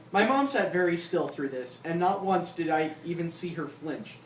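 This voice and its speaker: An informal reader works through a comic memoir aloud, mid-pitched and given to asides. The voice sits at a distance from the mic in an echoey room, with clear noise in the background. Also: very animated, normal speed. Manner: informal, breaks off with asides and chuckles.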